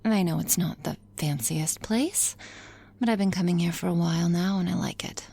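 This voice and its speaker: A woman acts out a teasing, cynical female boss in an intimate ASMR roleplay. Her voice is fairly low and husky, with a crackle of fry. She speaks playfully and flirtatiously, often dropping to a breathy whisper. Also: normal speed, mellow. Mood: teasing, caring.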